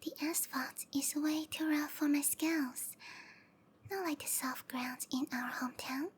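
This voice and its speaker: A woman voicing a sweet, obsessive snake-girl lover in a breathy, cooing whisper for an intimate ASMR roleplay. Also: high voice, steady tone, measured pace. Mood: sweet, doting. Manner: cooing, tender, possessive.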